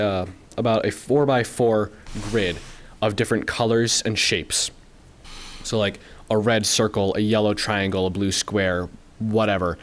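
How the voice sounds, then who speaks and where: A young man, a student team member, giving earnest, steady remarks at a school board meeting. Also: on the low side.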